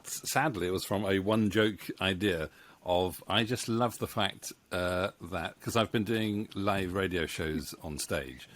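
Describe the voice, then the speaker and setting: Hesitant, low-voiced man. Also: unhurried, a bit bright. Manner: hesitant, rambling, chuckling. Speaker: interview host and co-writer. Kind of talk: casual video-call interview. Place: book-lined home room. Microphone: studio microphone on boom arm, close.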